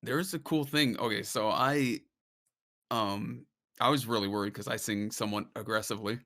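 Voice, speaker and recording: Gravelly, bright-voiced man chatting. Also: lowish voice, moderate pace. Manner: laughs often, explains at length. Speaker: podcast guest, singer. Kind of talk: casual chat.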